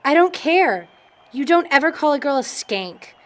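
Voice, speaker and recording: Actress as a high-voiced, prim young woman. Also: very animated, normal speed. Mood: reproving. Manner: reproving, earnest. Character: prim, morally firm young churchgoing woman. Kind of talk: amateur audio drama acting.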